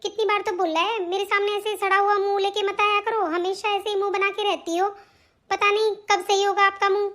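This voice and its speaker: A woman's voice: very high voice, little pitch movement, a little fast, sharp tone. A woman voicing a rude, selfish young daughter-in-law in a family cartoon, scolding in a curt, sarcastic way. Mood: irritated. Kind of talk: Hindi family cartoon story dialogue.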